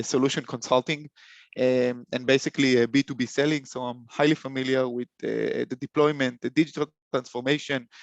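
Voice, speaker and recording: A young man with an Israeli accent presenting a webinar, halting speech full of fillers and restarts. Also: medium pitch, gravelly, no room sound. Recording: dull lo-fi call audio.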